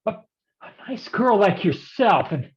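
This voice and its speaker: An actor playing a hard-boiled male private detective in a noir staged reading, gruff and wisecracking in old-time gumshoe slang. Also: sits high, sing-song, slow pace, muffled recording, heavily acted. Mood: gruff, protective.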